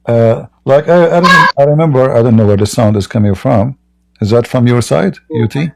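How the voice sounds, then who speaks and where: A man's voice: medium pitch, normal speed, vocal fry. A man hosting a live online debate stream, answering a caller in a direct, emphatic way. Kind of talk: live-stream call-in reply.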